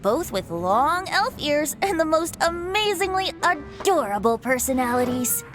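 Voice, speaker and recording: Boyish male bard, high-pitched, very animated, playful, teasing, theatrical game acting, music underneath. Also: measured pace. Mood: playful, cheerful. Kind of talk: video game character acting.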